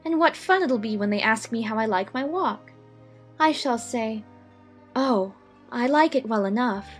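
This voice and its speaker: Woman playing a curious, high-voiced little girl. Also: expressive, unhurried, faint music behind. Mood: wondering. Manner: wondering, talks to herself. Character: curious, polite, slightly timid young girl on an adventure. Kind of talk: dramatized audiobook acting.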